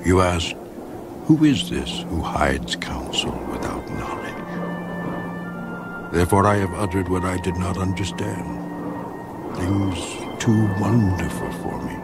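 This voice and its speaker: A man playing humble, hushed Job. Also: low voice, measured pace. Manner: hushed, humble, half-whispered. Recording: distant voice under loud music.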